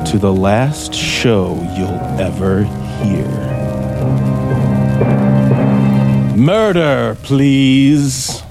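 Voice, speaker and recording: An American actor in a 1950s radio drama playing a bitter, sardonic male scriptwriter plotting murder, with a theatrical, deliberate delivery. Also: medium pitch, gravelly. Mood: grim, theatrical. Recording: vintage 1950s radio recording with music.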